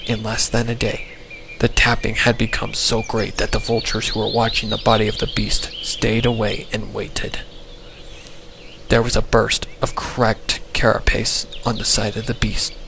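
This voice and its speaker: A man's voice: lowish voice, creaky, background noise. A man reading a scripted horror story as a dramatic narrator. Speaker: story reader. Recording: loud music bed under the voice. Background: music.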